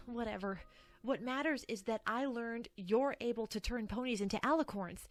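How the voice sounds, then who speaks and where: A woman's voice: a little high, moderate pace, no room sound, faint music behind, natural voice. A woman voicing an ambitious, stubborn young pony student who talks cockily. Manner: cocky and dismissive.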